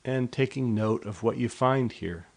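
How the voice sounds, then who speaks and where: A man leading a guided body scan meditation in a slow, soft, unhurried way. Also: mid-range voice, creaky.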